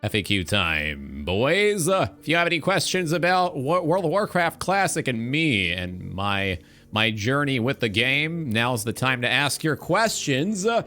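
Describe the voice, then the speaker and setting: Faint music plays behind a young man with a mid-range voice. He hosts a casual livestream talk show in a radio style. His delivery has an announcer's showmanship, with deliberate, drawn-out phrasing. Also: mid-range voice, very animated, bright, forceful tone. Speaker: talk show host, game streamer. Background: background music.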